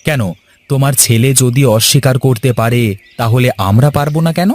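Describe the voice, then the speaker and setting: A man's voice: mid-range voice, moderate pace, a little mellow, slight background noise, natural voice. A man voicing a hot-headed, resentful younger brother from a village in a cartoon. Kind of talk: Bengali cartoon dialogue.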